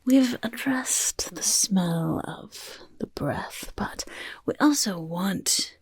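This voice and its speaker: Lady doing a chaotic goblin boss for asmr, creaky soft voice that swoops around, fussy, coaxing, loves filth. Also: mid-range voice, lively intonation, drawn-out words, dry recording, heavily acted. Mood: gleefully scheming. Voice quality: breathy, whispery, creaky. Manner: coaxing, fussy, repeating words. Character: chaotic, bossy, motherly goblin overlord fond of filth. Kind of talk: soft-spoken ASMR personal attention roleplay. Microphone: close microphone, dry sound.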